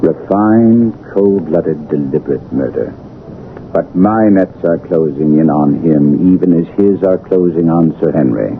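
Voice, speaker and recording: An actor playing a commanding, keen-minded detective in a 1970s radio drama, theatrical, assured and precise. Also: male voice, extremely low pitch, a little slow. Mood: grave, assured. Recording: muffled, narrow-band vintage radio, faint hiss.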